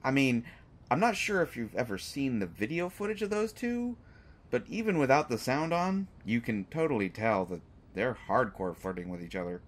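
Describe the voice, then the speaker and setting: A man's voice: mid-range voice, sing-song, moderate pace. A man playing a sarcastic, unafraid hostage who teases a villain in an audio drama, with exaggerated mock reactions. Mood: taken aback, teasing. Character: sarcastic, unafraid male hostage taunting a lovesick supervillain. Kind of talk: scripted roleplay audio drama monologue.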